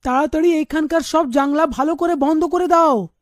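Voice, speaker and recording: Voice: sits high, normal speed, dry recording, clean studio sound, lightly acted. An actor playing an anxious young male college student in a cartoon, speaking urgently and emphatically. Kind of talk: animated story dialogue.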